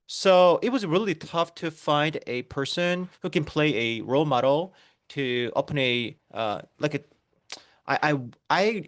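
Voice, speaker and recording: A man's voice: a little high, a bit bright, dry recording, clean studio sound. A man in his thirties with a Korean accent, giving an online business presentation with many fillers and restarts. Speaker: network marketing presenter.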